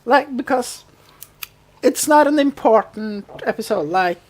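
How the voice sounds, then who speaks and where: A woman's voice: a little high, animated, a little slow. A woman co-hosting a podcast, casually recapping a TV show in loose, hesitant phrases. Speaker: podcast co-host.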